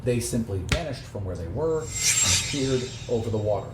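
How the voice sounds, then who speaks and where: A mid-pitched man runs a tabletop game, explaining things casually to the players. The room is echoey, with clear background noise. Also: animated, normal speed. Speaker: game master.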